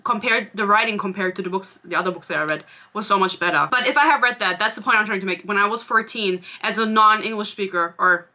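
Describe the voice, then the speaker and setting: A woman's voice: medium pitch, fast talker, few pauses, bright, forceful tone. A young woman in her twenties whose first language is German, chatting casually as a book vlogger, rambling and sarcastic, laughing often. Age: 20s. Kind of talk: casual chat.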